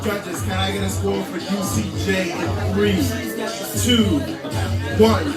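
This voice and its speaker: A man hosting a live poetry slam, loud and casual as he pumps up the crowd at an indoor venue. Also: sits high, steady tone, slow pace, over loud music. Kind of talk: live event emceeing. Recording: roomy, distant live venue sound with noise.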